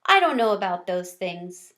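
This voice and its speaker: A woman voicing a young, innocent female guinea pig in a children's storybook, with a soft voice and a sweet, naive, questioning manner. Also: medium pitch, big pitch swings, moderate pace, voice pushed down.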